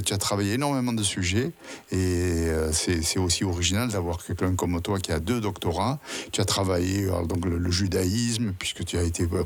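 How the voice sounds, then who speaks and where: A man hosting a radio interview, asking friendly leading questions and restating his guest's answers. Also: low-pitched. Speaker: radio host.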